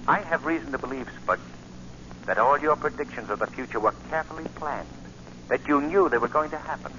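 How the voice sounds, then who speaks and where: An actor playing a mysterious, menacing invisible avenger who questions with deliberate command in 1940s radio style. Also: male voice, on the high side, sharp tone. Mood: stern, probing. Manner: slow, commanding, deliberate interrogation. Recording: noisy, muffled 1940 radio recording.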